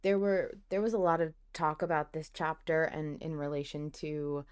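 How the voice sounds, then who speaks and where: A woman's voice: deep voice, little pitch movement, normal speed, smooth. A woman hosting a podcast, talking in a casual, conversational and emphatic way. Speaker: podcast host.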